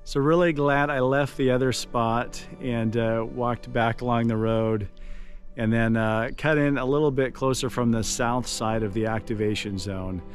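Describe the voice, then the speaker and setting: Man, medium pitch, amateur radio summit operator, thankful and informal, at ease with phonetic callsigns. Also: fairly even intonation, measured pace, soft background music. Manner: appreciative, spells with phonetic alphabet. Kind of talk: radio contact calls and casual narration. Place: outdoors on a forested summit.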